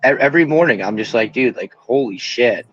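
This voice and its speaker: A man's voice: mid-range voice, animated, a little fast, muffled recording. A young man in recovery, talking candidly and casually as an interview guest, rambling with lots of fillers and swearing freely. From Pennsylvania.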